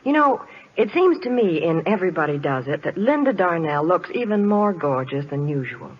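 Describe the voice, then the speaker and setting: A woman's voice: on the low side, very animated, moderate pace, slight background noise. A woman reporting in a scripted, promotional 1940s radio style. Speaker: Hollywood reporter on radio show. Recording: muffled vintage radio recording.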